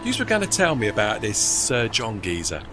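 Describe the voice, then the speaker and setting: Man playing a chirpy, animated working tugboat. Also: lowish voice, normal speed, music in the background. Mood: matey. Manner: colloquial, matey working-class speech. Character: chirpy, plain-spoken working steam tugboat, deferential but cheeky. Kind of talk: audio play acting.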